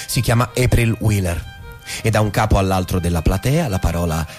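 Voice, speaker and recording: An actor playing a dry, deliberate narrator and office husband in a literary stage drama, reciting in a theatrical way. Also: male voice, deep voice, a little mellow, close to an everyday voice. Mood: wry, confiding. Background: music.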